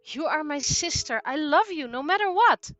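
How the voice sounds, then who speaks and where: A hot-headed teenage boy with a proud streak, voiced by a woman reading a storybook. The voice sits high and swings widely, and it sounds bright and sharp as he shouts and pleads. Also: big pitch swings, moderate pace, soft background music, full character voice. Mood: tender.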